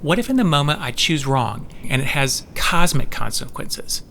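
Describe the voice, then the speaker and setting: A man's voice: a little high, sing-song, moderate pace, creaky, sharp tone, slight background noise, exaggerated voice. An actor playing a mild-mannered, troubled man in an audio drama, hesitant and introspective in a conversational way. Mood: troubled, earnest. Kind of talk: scripted audio drama acting.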